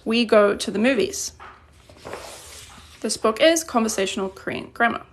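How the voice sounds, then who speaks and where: A woman's voice: fairly high pitch, animated, moderate pace, a little hiss or hum. A woman teaching Korean grammar in a live online lesson, patiently explaining examples and answering viewer questions. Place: indoors at a desk. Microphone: phone camera above a desk.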